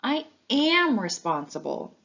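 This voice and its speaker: A woman's voice: sits high, big pitch swings, slow, a bit bright, voice pushed up, heavily acted. Young storybook schoolboy played by a woman reader, earnest and slightly anxious, childlike delivery, dull recording. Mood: earnest, hesitant.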